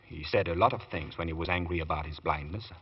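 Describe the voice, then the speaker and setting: An actor playing a guarded, defensive manservant on the witness stand in an old radio drama, deferential but evasive. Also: male voice, deep voice, fast, slightly rough. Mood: guarded, uneasy. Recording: dull lo-fi old radio recording.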